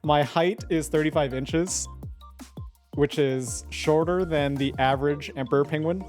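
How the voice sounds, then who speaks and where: A giggly, chatty streamer talks casually in a mid-range voice, rambling with plenty of filler words. Soft music plays quietly underneath. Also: medium pitch. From United States. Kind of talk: casual live-stream chat.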